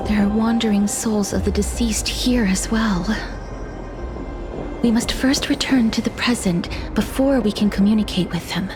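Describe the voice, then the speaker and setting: A woman voicing a gentle, composed young priestess in a video game, speaking in a measured, formal, soft manner. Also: medium pitch, music in the background, natural voice. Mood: solemn. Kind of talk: video game character acting.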